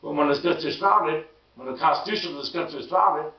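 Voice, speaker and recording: A hoarse man who stammers and repeats words, giving an emphatic casual political monologue. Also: quick pace. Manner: emphatic, rising pitch when indignant. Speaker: political commentary vlogger. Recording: roomy distant lo-fi sound.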